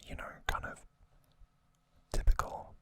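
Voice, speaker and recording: A man whispering a casual ASMR recap in short, hushed, unhurried phrases. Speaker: ASMR host.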